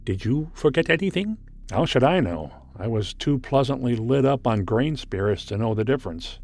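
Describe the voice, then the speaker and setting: A man acting a skeptical, excitable ship's doctor in a theatrical 1950s radio drama style, exclamatory and sometimes hesitant. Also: medium pitch, moderate pace, creaky. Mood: alarmed, skeptical. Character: male ship's doctor, folksy, excitable, skeptical spacefaring drinker.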